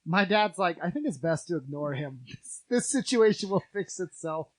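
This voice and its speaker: A man's voice: high-pitched, animated, normal speed, dry recording. A man co-hosting a podcast, chatting casually with wry, self-mocking asides. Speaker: podcast co-host. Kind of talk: casual chat.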